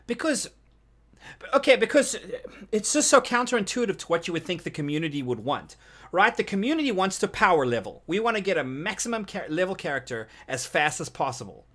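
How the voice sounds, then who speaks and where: A man's voice: high-pitched, sing-song, fairly quick, fairly bright tone. A man co-hosting a casual gaming panel discussion, often repeating and restarting his phrases as he talks. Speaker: podcast co-host.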